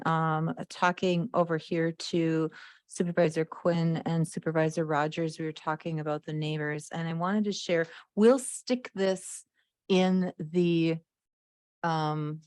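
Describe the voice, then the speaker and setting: A woman presenting to a county board, explaining a document conversationally with restarts and pauses. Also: low-pitched, a little mellow, no echo. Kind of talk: explaining a document at a public meeting.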